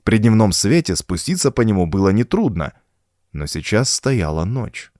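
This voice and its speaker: A man reads a horror tale in a dramatic, suspenseful way. His fairly low voice swings widely in pitch as he builds each scene, and the recording is dry and close. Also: normal speed, dry recording. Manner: expressive, suspenseful storytelling. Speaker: audiobook narrator. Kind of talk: dramatic scripted narration of a horror story.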